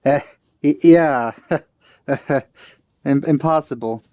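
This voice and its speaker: A man giving casual, offhand commentary as he plays a video game, in low-key asides on a close mic. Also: drawn-out words, mellow, dry recording. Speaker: let's play host.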